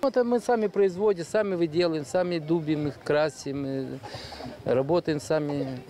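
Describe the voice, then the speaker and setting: A man's voice: a little high, normal speed, mellow, slight background noise. A man selling furs, talking in a street interview at an outdoor market stall. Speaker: fur vendor.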